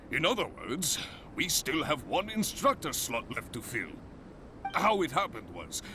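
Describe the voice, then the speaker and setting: A man's voice: medium pitch, noisy background. An actor playing a polite, businesslike male guild official. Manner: courteous, businesslike.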